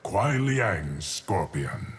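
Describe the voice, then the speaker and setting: An actor playing a calm, all-knowing mystical guardian in a video game, gravelly, measured and portentous. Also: male voice, low-pitched, full character voice. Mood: solemn. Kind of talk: video game character acting.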